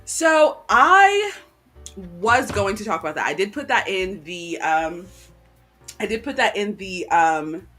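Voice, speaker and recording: Rambling, chatty woman co-host, bright voice. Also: mid-range voice, sing-song, takes it slowly, faint music behind. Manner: rambling, chatty, many ums and restarts. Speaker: livestream co-host. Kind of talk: casual live chat. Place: cool room at home. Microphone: home desk microphone.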